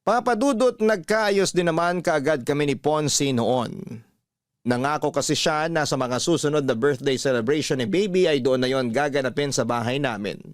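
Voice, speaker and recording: A man's voice: on the high side, normal speed, dry recording, clean studio sound. A man with a Filipino accent reading out a scripted first-person story as a radio drama narrator.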